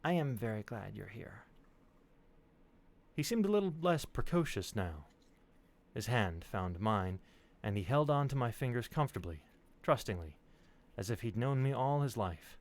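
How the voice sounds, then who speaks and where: A man's voice: mid-range voice, very animated, normal speed. A man reading stories aloud on a stream, with casual asides. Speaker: reader on a storytelling stream.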